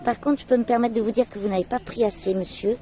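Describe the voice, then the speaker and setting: A woman's voice: on the high side, steady tone, fast talker, dark tone. An older woman who volunteers and conducts a casual interview, talking in a chatty, direct and encouraging way. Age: older adult.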